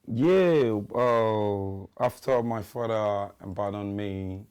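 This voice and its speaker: A man's voice: fairly low pitch, slow pace, a little mellow, clear, resonant tone. A young Nigerian man, a musician guest, talking loosely in a casual interview and often saying "you know what I mean".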